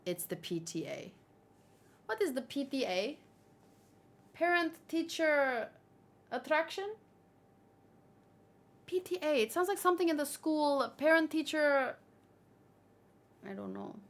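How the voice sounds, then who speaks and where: A woman doing casual livestream commentary, reading aloud and reacting in a chatty, expressive way. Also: sits high, slow, pauses often. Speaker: manga read-along streamer.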